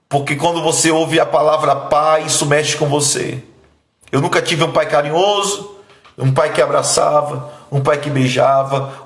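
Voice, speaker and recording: Emphatic pastor in echoey room. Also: male voice. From Bahia, Brazil. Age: 30s. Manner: emphatic, pleading, voice dropping low and soft when emotional. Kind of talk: sermon with personal testimony.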